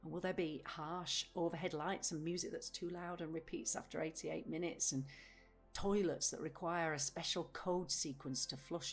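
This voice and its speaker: A woman playing a blunt, literal, restless adult woman in a naturalistic audio drama, with a husky voice and an insistent manner. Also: fairly low pitch.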